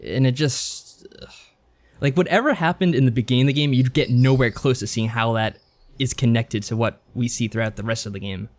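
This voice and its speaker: A man co-hosting a podcast, chatting casually about games in a measured, explanatory way. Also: medium pitch. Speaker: podcast co-host. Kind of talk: casual visual novel review chat.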